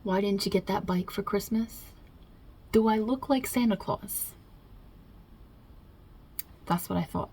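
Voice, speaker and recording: A woman's voice: mid-range voice, a little slow, pauses often, a little mellow, breathy edge. A woman voicing God as a brash, short-tempered, non-binary Italian mob boss in an ASMR roleplay, with a put-on Italian-New York accent and a blunt, chummy, rambling delivery. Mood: dismissive. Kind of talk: ASMR roleplay interview, improvised.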